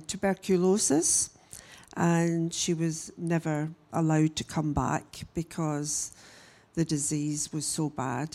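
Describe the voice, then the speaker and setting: A woman, giving a church message as a missionary and telling her story in a halting, unhurried way with frequent pauses. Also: low voice, creaky, a little mellow. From Scotland. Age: 60s. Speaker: guest speaker, missionary. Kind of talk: church message, personal testimony.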